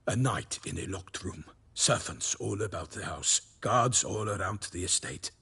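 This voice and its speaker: A man's voice: sits low, lively intonation, measured pace, vocal fry, pushed and bright, breathy edge, full character voice. A man voicing a proud, chivalrous knight errant in a fantasy video game, florid and dramatic, over background noise. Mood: grave, dramatic. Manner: florid, dramatic, formal storytelling.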